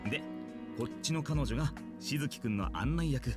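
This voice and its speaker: A man's voice: mid-range voice, fast talker, loud music behind the voice, natural voice. An actor playing a friendly, apologetic adult man with glasses in a visual novel. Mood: friendly introduction. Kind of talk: Japanese visual novel voice acting.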